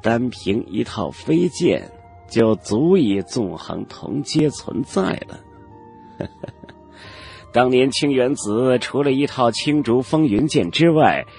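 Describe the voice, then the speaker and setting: A man voicing an old male cultivator elder in a fantasy audiobook, haughty and knowing, with measured, condescending, old-fashioned speech. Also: on the low side, vocal fry, a little mellow, heavily acted. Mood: reminiscing. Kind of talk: Chinese fantasy audiobook character acting.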